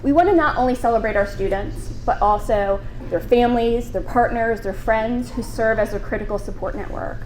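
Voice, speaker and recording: A woman's voice: a little high, moderate pace, few pauses, a little mellow, slight background noise. Formal woman presenting at a podium. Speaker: college staff presenter. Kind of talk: prepared speech at a breakfast event. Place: large banquet room. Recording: echoey hall, faint ambience.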